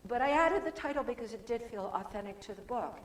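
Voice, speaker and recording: A soft-spoken woman giving a chatty, informal talk as a guest speaker at a church service, with plenty of restarts. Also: a little high, expressive, fast. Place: church sanctuary. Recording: echoey hall with faint room noise.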